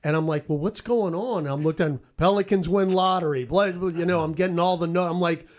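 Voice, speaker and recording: A man's voice: sits high, fairly quick. An American man, a sports broadcaster, telling stories on a podcast roundtable. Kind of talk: animated storytelling on a podcast roundtable.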